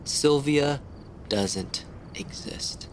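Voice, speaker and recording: A man playing a determined, tough police detective in an audio drama, firm, deliberate and confrontational. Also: fairly high pitch, a little hiss or hum. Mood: accusing.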